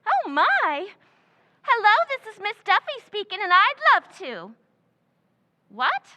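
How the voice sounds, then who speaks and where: A woman's voice: very high-pitched, huge pitch swings, takes it slowly, bright, forceful tone, lo-fi sound, heavily acted. A woman playing a giddy, scatterbrained, man-hungry young woman in an old-time radio comedy recreation.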